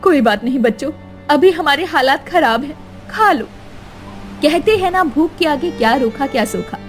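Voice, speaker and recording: A woman's voice: high-pitched, animated, moderate pace, faint music behind. A woman acting a poor, long-suffering wife and mother in a cartoon moral story, tender, plaintive and emotional. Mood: gentle, resigned.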